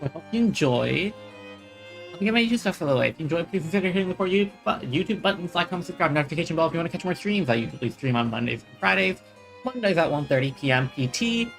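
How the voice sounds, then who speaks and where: A creaky, mid-pitched voice of a game streamer commenting casually on a livestream. The streamer muses aloud and trails off, with faint game music behind. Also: lively intonation, racing. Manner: chatty, musing to self, trailing off.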